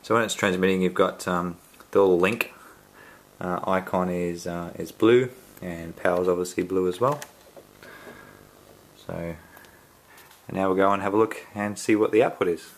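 Low-voiced Australian man unboxing gadgets. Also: a little hiss or hum. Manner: halting, many ums and restarts. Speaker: tech reviewer. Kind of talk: casual unboxing walkthrough. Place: indoors at home, filming the box up close.

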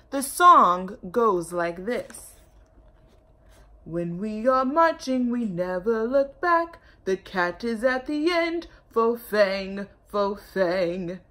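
A woman voicing a sly, honey-tongued cat from a children's storybook, with a grand, coaxing, drawn-out delivery. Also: on the high side, fairly bright tone. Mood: gleeful, sly. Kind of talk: children's storybook read-aloud.

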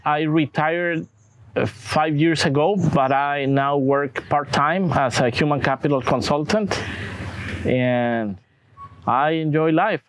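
Slow-speaking older Mexican man. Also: fairly high pitch. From northern Mexico. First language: Spanish. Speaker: patient. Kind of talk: documentary interview, recounting own story.

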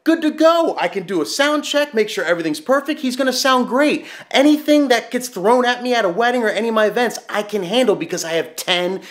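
A man in his thirties, a DJ, talking straight to camera in a small home room, loud and projecting, animated and gesturing as he explains. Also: very high voice, brisk, few pauses. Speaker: DJ giving tips. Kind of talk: energetic direct-to-camera explainer.

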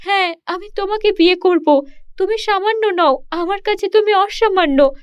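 A woman's voice: very high-pitched, moderate pace, dry recording, dull audio, voice pushed up. A woman voicing an eager, lovestruck young mermaid princess in a children's cartoon, pleading and coaxing sweetly. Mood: earnest, coaxing.

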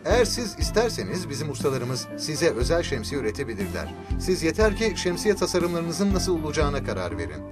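Eager cartoon salesman, animated voice. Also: a little high, fairly quick, hardly any pauses. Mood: eager, sly. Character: wheedling male umbrella shop salesman, opportunistic, easily alarmed. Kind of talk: children's educational cartoon dub. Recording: loud music behind the voice.

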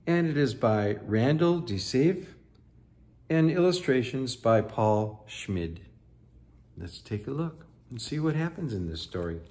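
A man in his sixties reads a picture book aloud in a living room. His delivery is unhurried and expressive, with lively swings in pitch, pitched for young children. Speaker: storyteller for children.

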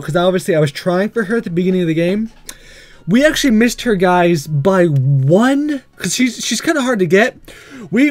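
A man hosting a casual let's-play, chatting to viewers in a halting, conversational way. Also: high voice, a little mellow, dry recording. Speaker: gaming channel host.

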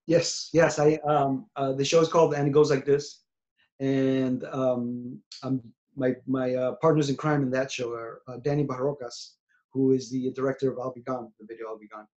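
A man's voice: medium pitch, level tone, moderate pace. A man in his forties from New York, a singer-songwriter chatting in an interview from a small room at home, often restarting and trailing off. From New York City. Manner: rambling, many restarts, trailing off quietly. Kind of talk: casual interview over video call. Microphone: webcam on video call. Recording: dull lo-fi video call audio.

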